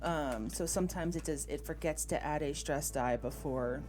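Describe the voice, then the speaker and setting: A woman's voice: sits low, normal speed, a little mellow. A woman acting as game master in a tabletop roleplaying game, casually talking through rules and thinking aloud. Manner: thinks aloud while checking rules and rolls. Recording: voice over background music. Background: music.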